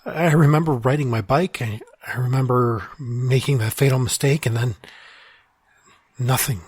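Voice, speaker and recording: An actor playing a lonely man trapped inside his own mind, speaking in a halting, stammering way with frequent sighs. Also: mid-range voice, darkish tone, husky, dry recording, natural voice. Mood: despairing. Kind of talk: audio drama monologue.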